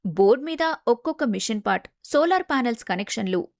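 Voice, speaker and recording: A woman's voice: fairly high pitch, expressive, fast talker, a little mellow, no room sound. A woman narrator reading a scripted family story in a dramatic storytelling style.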